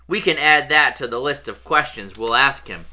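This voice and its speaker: A reader as a wary, bright-voiced schoolboy. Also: mid-range voice, normal speed. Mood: dry. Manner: blunt, questioning. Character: schoolboy wizard hero, wary and indignant.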